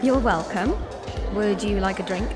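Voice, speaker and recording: A woman's voice: medium pitch, unhurried, lightly acted. An actress playing a playful, teasing young woman in a radio drama. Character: teasing, warm-hearted, self-confessed clumsy young woman. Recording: music and crowd chatter behind.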